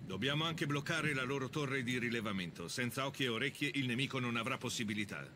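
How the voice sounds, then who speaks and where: Actor as commanding officer over noisy ambience. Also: male voice, mid-range voice, natural voice. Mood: grave, commanding. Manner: firm, measured, commanding. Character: American army officer briefing paratroopers, stern and authoritative. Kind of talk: military briefing in an Italian game dub.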